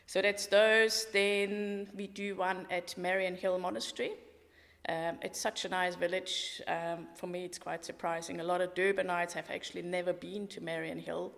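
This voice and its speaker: Swiss-accented middle-aged woman, tour guide, gravelly low voice, long hall reverb. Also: little pitch movement, moderate pace, fairly bright tone. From Switzerland. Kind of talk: podcast interview conversation.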